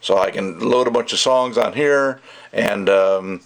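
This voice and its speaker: A man's voice: fairly low pitch, unhurried, gravelly. A middle-aged man giving a casual, unscripted hands-on product review, rambling and thinking aloud as he goes. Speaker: audio gear reviewer.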